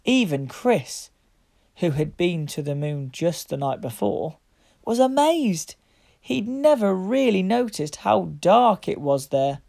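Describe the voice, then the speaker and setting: A woman reading a picture book aloud to young children, with deliberate, expressive phrasing. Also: fairly low pitch, vocal fry. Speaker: children's story reader.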